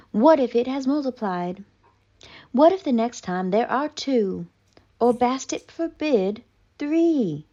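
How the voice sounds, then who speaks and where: A woman voicing a haughty, melodramatic, traumatized female cat in a pompous, mock-tragic theatrical monologue with deliberate pauses. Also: on the high side, dark tone, lo-fi sound, heavily acted. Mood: anxious, dreading.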